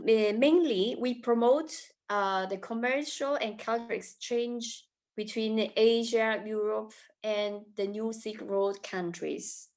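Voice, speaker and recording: A woman from China and Italy, introducing herself formally as an interview guest, halting and searching for words. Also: medium pitch. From born in China, raised in Italy.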